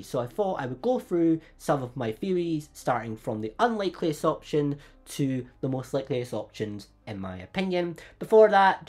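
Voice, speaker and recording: Man with a cold, chatty host. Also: a little mellow. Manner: upbeat, chatty, often rising in pitch. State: has a cold. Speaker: YouTube channel host. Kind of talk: fan theory video commentary.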